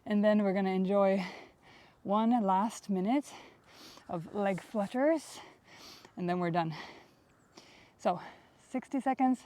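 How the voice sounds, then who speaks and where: Woman, Swedish accent, workout instructor, out of breath, chatty, warm mellow tone. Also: medium pitch. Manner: chatty, pauses to search for words. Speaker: fitness instructor. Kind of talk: workout instruction while exercising. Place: outdoors.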